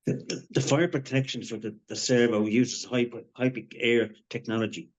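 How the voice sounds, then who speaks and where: An actor in a dramatic table read playing a wounded, bitterly sarcastic man who speaks in a halting, urgent way. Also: medium pitch, fairly even intonation, natural voice. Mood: grim, urgent. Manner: halting, urgent, foul-mouthed. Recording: dull lo-fi online call audio.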